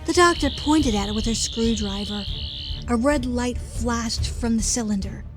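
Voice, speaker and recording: Casting: actress as young female sidekick and narrator, slightly high voice, colloquial and sassy, clear music bed. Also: moderate pace, natural voice. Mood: dramatic narrating. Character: sassy, easily flustered young female companion, casual narrator. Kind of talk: first-person audio drama narration.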